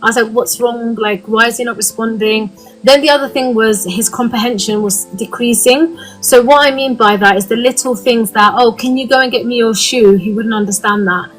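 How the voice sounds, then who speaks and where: A woman's voice: fairly high pitch, normal speed, poor audio. Woman from the uk, thirties, earnest, lots of ums, live online session, faint music behind. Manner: earnest, frequent "um" fillers, candid. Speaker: guest speaker.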